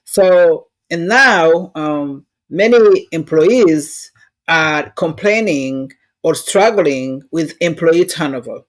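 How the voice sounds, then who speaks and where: A woman's voice: deep voice, expressive, slow pace, poor audio. A woman with a Rwandan accent giving conversational interview answers and anecdotes in an earnest, instructive way, with non-native phrasing. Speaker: interview guest, diversity consultant.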